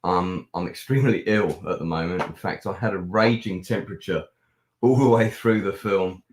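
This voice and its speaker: A man with a croaky, ill-sounding voice, chatting casually through a film review with many pauses and fillers. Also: low-pitched. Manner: rambling with many ums and restarts. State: hoarse, ill with a sore throat. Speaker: film reviewer and channel host. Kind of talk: casual live-stream review chat. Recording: echoey room.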